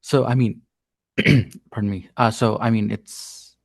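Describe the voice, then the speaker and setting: A man's voice: lowish voice, fairly even intonation, takes it slowly, gravelly, no room sound. A man student answering a question, hesitant and thinking aloud with many fillers.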